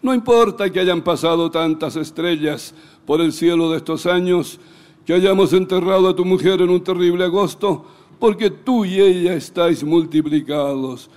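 A man giving a dramatic, expressive recitation of a poem in a declamatory manner. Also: high voice, normal speed.

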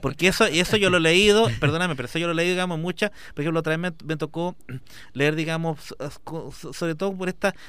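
A man's voice: a little high, fast talker, pushed and bright, smooth. A man, an artist guest in an interview, talking passionately and rambling with many fillers. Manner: rambling, fills with "digamos", passionate. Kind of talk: conversational interview.

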